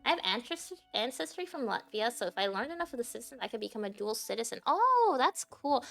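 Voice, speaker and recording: A woman's voice: on the high side, very animated, fairly quick, creaky, fairly bright tone, faint music behind. A woman from Japan whose first language is Japanese, chatting casually on a livestream and rambling with many fillers and restarts. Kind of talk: casual stream chat.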